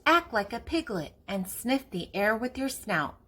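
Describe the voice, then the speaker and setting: An older woman reading a picture book aloud to young children in a playful, animated way as the host. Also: a little high, measured pace, very noisy. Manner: playful, acting out animal sounds.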